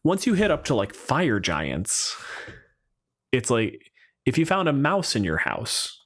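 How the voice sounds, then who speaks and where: A man's voice: medium pitch, huge pitch swings, takes it slowly, fairly bright tone. A man co-hosting a podcast, chatting casually and wryly with plenty of swearing. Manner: casual, profane, wry. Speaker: podcast co-host. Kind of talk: casual chat about tabletop game monsters.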